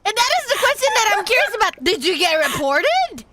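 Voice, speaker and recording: A woman's voice: extremely high pitch, big pitch swings, brisk, very noisy. A woman streamer chatting casually while gaming, laid-back, often answering with short agreeing murmurs.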